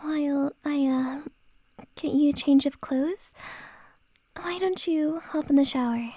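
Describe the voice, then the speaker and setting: A woman playing a flustered boss who confesses her feelings to an employee in an ASMR roleplay. She speaks in a soft, hesitant whisper, close to the mic. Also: high voice, steady tone, unhurried, dry recording. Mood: tender, flustered.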